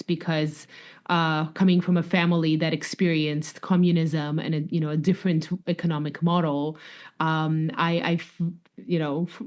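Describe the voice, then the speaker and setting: A woman's voice: low-pitched, darkish tone. A woman author with a mixed Russian, New York and slightly French accent, talking reflectively as a guest in a podcast interview. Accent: mix of Russian, New York, French and London. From Russia, raised in New York. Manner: reflective, with fillers and light laughs.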